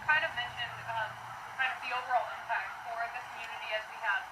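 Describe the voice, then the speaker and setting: A woman's voice: on the high side, fairly even intonation, quick pace. A woman reporter asking interview questions. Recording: echoey, distant, noisy background.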